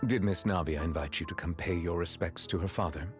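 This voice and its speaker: An actor playing a solemn, courteous chief justice in a game cutscene, speaking formally and with measured reserve. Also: male voice, low-pitched, over loud music, natural voice. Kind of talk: scripted game cutscene acting.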